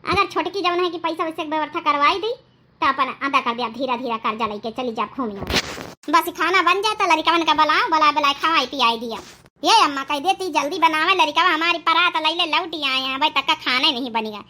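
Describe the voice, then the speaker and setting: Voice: high-pitched, animated, brisk, bright, forceful tone, full character voice. A performer voicing an elderly, grumbling village aunt in a comic cartoon family drama, fussy and plain-spoken, with an Awadhi accent. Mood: nagging.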